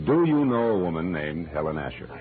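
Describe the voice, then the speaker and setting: A male actor with an American accent playing a wry, deadpan private detective in a 1940s radio noir drama. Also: sits low, fairly quick. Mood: dry, probing. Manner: deadpan, wisecracking. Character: wry, hard-boiled male private detective narrating his case. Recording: muffled old radio recording, music behind.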